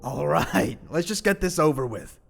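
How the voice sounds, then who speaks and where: A man's voice: on the high side, expressive, normal speed, vocal fry, faint music behind. A man playing a tough, irritable teenage boy lynx in an audio drama, dismissive and foul-mouthed but secretly caring. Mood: brushing it off.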